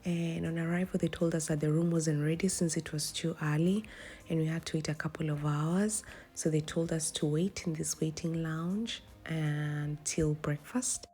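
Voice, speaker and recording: A young woman, hoarse from a cold, gives a casual voice-over account of a trip as a vlogger. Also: sits low, fairly even intonation, moderate pace, warm tone. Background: background music.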